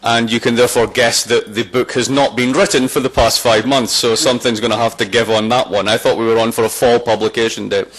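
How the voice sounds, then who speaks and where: A man from Scotland with a Scottish accent, giving a public lecture with a wry, joking, lively delivery and a big voice. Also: medium pitch, brisk, few pauses, rough recording. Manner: strong voice, walks away from the microphone. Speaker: guest lecturer.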